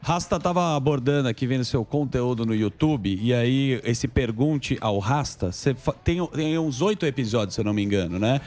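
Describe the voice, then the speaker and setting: A man's voice: medium pitch, lively intonation, normal speed, few pauses, a little mellow. A Brazilian man casually putting questions to a guest as a talk show panelist.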